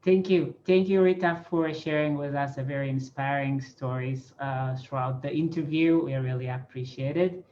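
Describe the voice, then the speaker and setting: A man's voice: mid-range voice, steady tone, moderate pace, few pauses, darkish tone. A man in his thirties moderating a formal online interview as host, speaking into a headset on a video call. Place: small office, on a video call. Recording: dull, noisy video-call audio.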